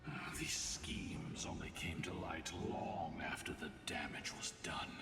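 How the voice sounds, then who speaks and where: Slow, low, echoing grave storyteller. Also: male voice, vocal fry, whispered, full character voice. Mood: solemn. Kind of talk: scripted fantasy game dialogue. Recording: long echoing hall, music behind.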